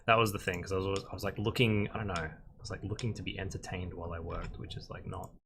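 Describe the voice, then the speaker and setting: A young man, a concept artist and instructor, chatting casually and rambling in a flat monotone while he works on a livestream. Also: deep voice, racing, background noise. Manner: monotone, rambling with many fillers.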